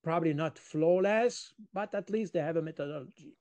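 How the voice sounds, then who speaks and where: High-pitched Swiss man explaining in interview. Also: moderate pace, darkish tone. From Switzerland. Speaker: interviewed analyst.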